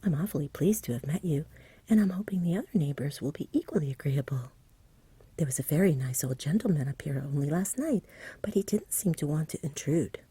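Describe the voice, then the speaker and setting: A woman voicing a lazy, genial, poetry-loving male dragon from a storybook in a soft whisper, with a chatty, polite, dreamy manner. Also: deep voice, expressive, brisk, breathy edge, no echo, full character voice. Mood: bashful, eager.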